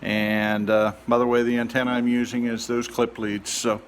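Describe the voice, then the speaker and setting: A man giving a casual, unscripted demonstration as a hobbyist restorer, speaking haltingly with many pauses. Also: mid-range voice, little pitch movement, slight background noise. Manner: halting, hesitant, with trailing pauses.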